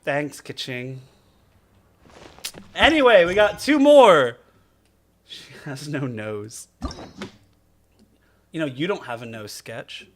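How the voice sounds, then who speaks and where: A man doing comedic live commentary over a game, talking in an excitable, effusive, exclamatory way. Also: a little high, slow pace, long pauses, slight background noise. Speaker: game streamer.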